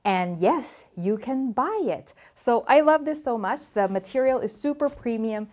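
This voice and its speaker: A woman's voice: on the high side, lively intonation, unhurried, a little mellow. A woman from Thailand hosting a casual cooking tutorial, talking to camera in a chatty, playful, emphatic way. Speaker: cooking show host.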